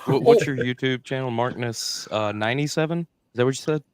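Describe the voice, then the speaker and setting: A man's voice: mid-range voice, a little fast, clear, resonant tone, no echo. A man chatting casually on a group call, reading out chat messages and laughing along. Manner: laughing, reads chat aloud.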